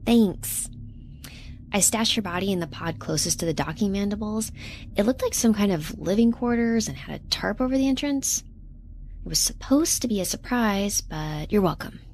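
A woman's voice: mid-range voice, lively intonation, normal speed, a little mellow, lightly acted. An actress playing an earnest, literal-minded young woman researcher in a sci-fi audio drama, speaking conversationally and a bit hesitantly. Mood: casual. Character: earnest, awkward young woman researcher defecting from corporate ship. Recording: clear ambient noise behind.

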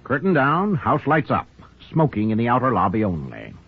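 A thin, tinny old radio broadcast with loud music. A male announcer narrates from a script in a wry, theatrical way, his pitch rising and falling widely. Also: huge pitch swings, moderate pace.

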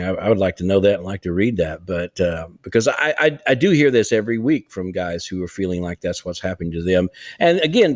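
An older man talks into a headset from a room at home, his voice dry and close with little room sound. He speaks earnestly and emphatically, pressing his point of encouragement home in a relaxed conversational podcast. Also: mid-range voice, dry recording. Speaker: church revitalization leader, co-host. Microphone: headset on a video call.

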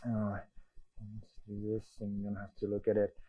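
A man from Finland with a Finnish accent, presenting a live coding demo at a conference, talking in halting phrases full of restarts and fillers. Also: fairly low pitch, monotone, husky, no echo, highs cut off. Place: conference room.

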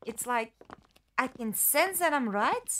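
A logical, dry-witted woman with lost memories played by an actress speaks in formal phrases with a lot of pitch movement. It is fan audio drama, with clear noise on the recording. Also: lively intonation, slow, fairly bright tone, full character voice. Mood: reflective, uneasy. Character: composed, logical, dry-witted female amnesiac captive.

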